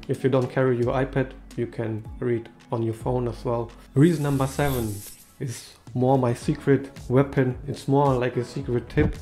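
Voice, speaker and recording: A man in his thirties speaking English as a second language, with a mellow, warm tone and slightly non-native phrasing. He talks to camera from a small room, upbeat and casual. Faint music sits under his voice. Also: medium pitch. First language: not English. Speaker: tech video host.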